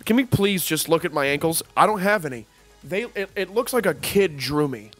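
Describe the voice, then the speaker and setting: A man's voice: on the high side, expressive, a little fast, soft background music. A young man in casual couch chat with friends, joking in a playful way and sometimes slipping into silly voices. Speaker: gaming house guest. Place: living room couch with others.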